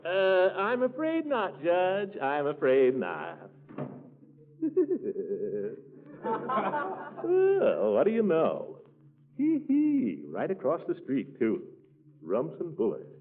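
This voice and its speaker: A man's voice: sits high, huge pitch swings, extremely slow, pauses often, exaggerated voice. A man playing a blustering, pompous small-town uncle with an exclamatory manner in a 1940s radio comedy. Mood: gleeful. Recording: muffled narrow-band 1940s radio recording.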